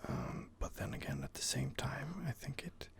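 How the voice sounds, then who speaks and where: Gravelly whispering man. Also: mid-range voice, huge pitch swings, moderate pace. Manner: hesitant, with many fillers and restarts. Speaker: let's play host. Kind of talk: whispered ASMR gameplay commentary.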